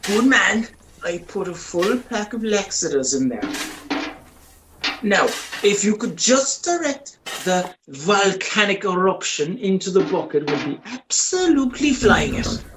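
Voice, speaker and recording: Man acting an animated, scheming Irish countryman. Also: high voice, measured pace, noisy background. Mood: coaxing, sly. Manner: colloquial, cajoling, excitable. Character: scheming, excitable Irish countryman sneaking about a horse farm. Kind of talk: radio play acting and first-person storytelling.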